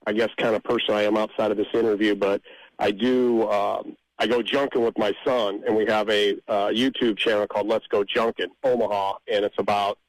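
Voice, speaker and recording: A middle-aged man chatting casually as an interview guest, with a halting, rambling way of talking. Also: lowish voice, level tone, no echo, low-quality recording. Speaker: interview guest, amateur songwriter.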